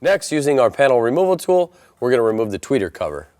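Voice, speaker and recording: A man's voice: mid-range voice, very animated, quick pace, mellow, slight background noise. An American man presenting a step-by-step installation tutorial in an instructional style.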